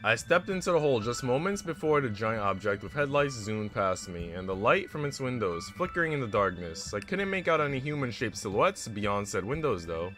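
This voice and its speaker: A man livestreaming a visual novel, moving between casual, chatty musing and expressive story narration. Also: mid-range voice, fairly quick, few pauses. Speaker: streamer reading a visual novel aloud. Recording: music playing behind the voice. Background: background music.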